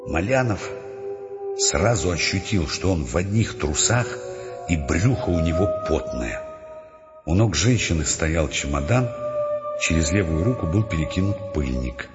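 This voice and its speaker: A man's voice: deep voice, animated, unhurried. An actor as a male storyteller, narrating an audio drama with dry irony. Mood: descriptive. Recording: dull, long reverb, music bed.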